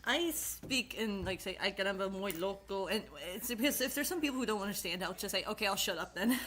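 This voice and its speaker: A woman's voice: medium pitch, fairly quick, fairly bright tone. A woman chatting casually with friends over a voice call, rambling, laughing often and swearing freely. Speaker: channel host.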